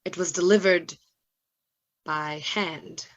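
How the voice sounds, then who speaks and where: A woman playing a tormented, remorseful gentleman doctor with formal, anguished delivery in a gothic radio drama. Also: lowish voice, steady tone, takes it slowly, highs cut off. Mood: troubled, guarded. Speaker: actor in a radio play.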